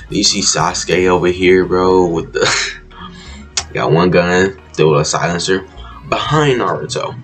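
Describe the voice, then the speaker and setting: A young man hosting a reaction video from his bedroom, laid-back and chuckling, with a groggy just-woke-up morning voice. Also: sits low. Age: young adult. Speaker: reaction channel host. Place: bedroom, indoors.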